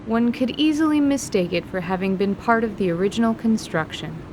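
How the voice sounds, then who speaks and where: A woman's voice: a little high, lively intonation, fairly quick. Woman narrating fantasy drama over noise.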